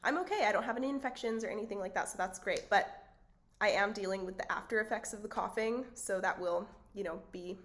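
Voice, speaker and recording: A woman hosting her own channel in a casual, chatty vlog, her voice raspy and hoarse, with frequent fillers and little laughs. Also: mid-range voice, quick pace. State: hoarse, voice cutting out. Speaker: channel host. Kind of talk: casual spiritual vlog talk.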